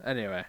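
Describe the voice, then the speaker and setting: A man playing a crabby, boastful railway boss who reads a storybook aloud with irreverent, deadpan asides. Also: mid-range voice, creaky, no room sound, heavily acted. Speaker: comic storybook reader. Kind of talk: irreverent ad-libbed storybook reading.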